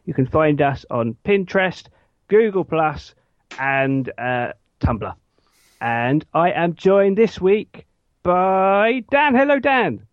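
Male podcast host, informal and self-effacing, very animated intonation, dry lo-fi sound. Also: high voice, takes it slowly, dry recording. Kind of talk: casual chat, hosting an animation podcast.

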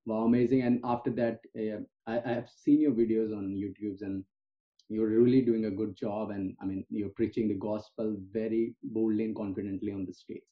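Earnest podcast host on a tinny line. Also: male voice, on the low side, little pitch movement. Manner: earnest, halting, with restarts. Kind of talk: interview questions.

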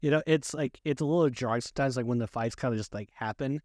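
Male co-host, mid-range voice, dry recording, informal halting pop-culture chat. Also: medium pitch, darkish tone, no room sound. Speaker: podcast co-host. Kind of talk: casual movie and game discussion.

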